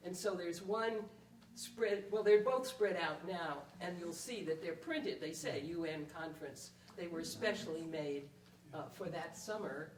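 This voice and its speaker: A woman explaining things thoughtfully to an audience, with hesitant, measured phrasing and frequent pauses. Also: fairly low pitch. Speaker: panel speaker and performer. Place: indoor room at a public library event. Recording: roomy, distant mic, faint noise.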